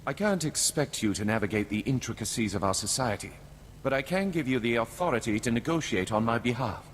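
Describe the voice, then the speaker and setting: A man's voice: medium pitch, expressive, slight background noise, natural voice. Courtly, commanding nobleman, played by an actor. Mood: composed, businesslike. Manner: formal, measured, courtly. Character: suave, commanding male head of noble house. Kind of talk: video game dialogue. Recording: background music under the voice.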